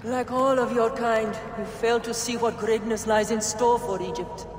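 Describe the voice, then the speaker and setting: A woman's voice: fairly high pitch, fairly even intonation, moderate pace, close to an everyday voice. A woman playing a cold, haughty villainous noblewoman in a game cutscene, disdainful and imperious. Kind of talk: dramatic game cutscene acting. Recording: echoey hall with noise behind.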